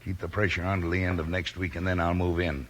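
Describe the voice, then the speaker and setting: An actor playing a ruthless, bullying crime boss in a 1950s radio western, menacing and impatient. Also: male voice, low-pitched, flat delivery, fast talker. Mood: domineering.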